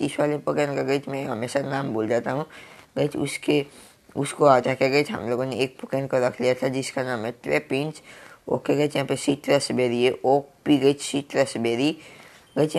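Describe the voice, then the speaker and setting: A young man with a Hindi accent, hoarse from a cold, giving casual game commentary. He keeps stuffing "guys" between his phrases. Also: mid-range voice. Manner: filler-heavy, keeps saying "guys". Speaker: gaming YouTuber.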